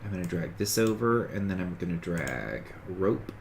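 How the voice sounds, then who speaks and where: Deep-voiced sniffly man narrating gameplay. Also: animated, moderate pace, gravelly, slight background noise. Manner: rambling, self-correcting, talks through each game step. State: sniffly from a cold. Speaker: gaming streamer. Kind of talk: casual let's-play commentary.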